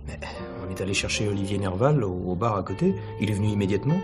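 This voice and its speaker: Actor as a low-voiced, guarded suspect. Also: male voice, lively intonation, quick pace, over music, natural voice. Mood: cooperative, guarded. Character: cool, guarded stage actor under police questioning. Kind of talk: French radio mystery play acting in 1950s manner.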